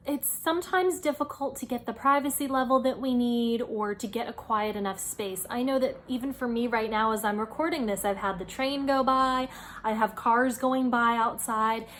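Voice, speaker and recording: Woman, higher-pitched voice, advice host, informal instructional talk, encouraging and explanatory. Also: a bit bright, a little hiss or hum. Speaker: host giving career advice.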